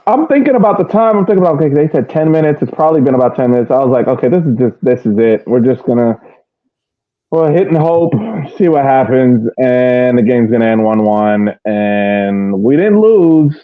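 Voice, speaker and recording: A man's voice: mid-range voice, animated, brisk. A man on a podcast panel in casual sports chat, often repeating his phrases, over a thin phone-like line.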